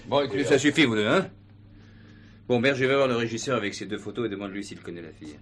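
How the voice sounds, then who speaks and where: A man's voice: mid-range voice, quick pace, fairly bright tone, lightly acted. A man playing an authoritative, methodical police chief in a French detective stage comedy. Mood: brisk, commanding.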